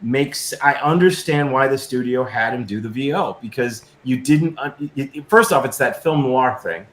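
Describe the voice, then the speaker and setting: A man's voice: mid-range voice, moderate pace, fairly bright tone. A middle-aged man being interviewed casually, talking effusively and emphatically and often stammering over repeated words. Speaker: guest, science fiction creator and designer. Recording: roomy echo, noticeable background noise.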